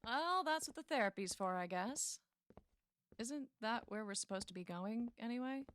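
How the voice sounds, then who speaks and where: A woman's voice: on the high side, lively intonation, moderate pace, a bit bright. An actress playing a guarded young woman patient in an audio drama, sardonic and hesitant.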